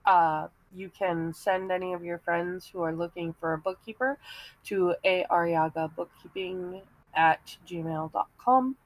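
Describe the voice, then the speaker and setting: Woman, slightly low, unhurried, casual panel chat, close dry recording. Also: dry recording, low-quality recording. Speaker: podcast panelist.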